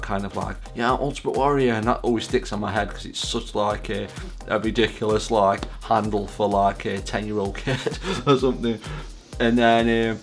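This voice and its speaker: A British man talks in a loose, casual vlog style. His delivery rambles and has a self-deprecating streak. Music plays behind his voice. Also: on the low side. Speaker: vlog host.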